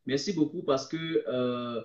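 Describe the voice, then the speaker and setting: A man's voice: medium pitch, measured pace, a little mellow, dull audio. A man from Cameroon, hosting a political live stream, emphatic and repeating his points straight to viewers. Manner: emphatic, repeats phrases, addresses viewers directly. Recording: roomy echo.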